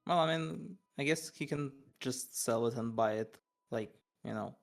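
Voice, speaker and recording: A man's voice: mid-range voice, a little slow, creaky, faint music behind. A man playing a tabletop game online, chatting casually in a hesitant, tentative way. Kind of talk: casual chat. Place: online voice call.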